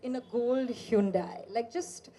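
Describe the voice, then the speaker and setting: A woman's voice: fairly high pitch, measured pace, warm tone. A woman hosting a book interview, chatty and easygoing, with frequent fillers as she sets up questions. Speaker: interviewer, host.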